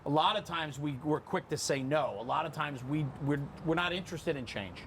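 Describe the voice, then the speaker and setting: A man's voice: fairly high pitch, fairly quick. A man hosting a conversational round-table interview, speaking in an earnest, emphatic way. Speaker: podcast host. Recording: clear background room noise.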